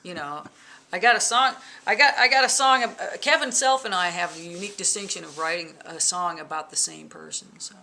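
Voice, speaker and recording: A lively woman in her forties talks casually about songwriting from her living room, filling her sentences with "you know". Her voice is lowish, gravelly and bright. A faint hiss sits behind her. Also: a little fast. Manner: lively, chatty, laughs often, many fillers. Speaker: bass player and songwriter. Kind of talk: casual interview chat. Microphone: camera in front, close framing.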